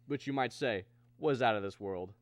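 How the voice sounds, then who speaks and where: A man's voice: medium pitch, moderate pace, no room sound. Male guest star in old-radio banter. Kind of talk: scripted banter in 1950s radio style.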